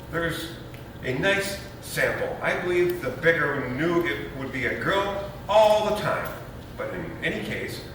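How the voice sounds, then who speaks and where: Man playing a pompous, melodramatic new father, echoey and distant. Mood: indignant. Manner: pompous, theatrically florid. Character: anxious new father, indignant, melodramatic, self-pitying Englishman. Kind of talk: stage comedy readers theater. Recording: roomy, distant, noisy hall recording with rumble.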